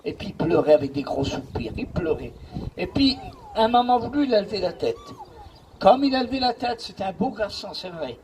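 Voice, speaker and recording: A man's voice: sits high, big pitch swings, fairly quick, a little mellow, a little hiss or hum, rough recording. A man from the Paris region preaching a sermon as pastor, emphatic and repetitive, telling a story to a responding congregation. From Paris region, France.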